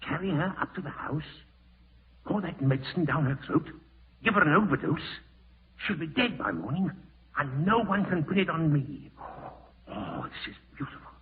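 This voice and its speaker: A man's voice: a little high, sing-song, moderate pace. An actor in a 1940s radio horror play as a cruel, scheming man, melodramatic and intense, on a tinny old recording. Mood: gloating. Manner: menacing, melodramatic, muttering to himself. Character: cruel scheming man gloating, then frantic with panic.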